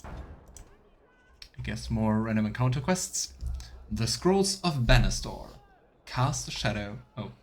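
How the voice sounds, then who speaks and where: A man's voice: fairly low pitch, big pitch swings, slow pace, long pauses. Man doing chatty, playful game commentary. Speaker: streamer playing a fantasy role-playing game. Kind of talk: let's play commentary, reading quest text aloud.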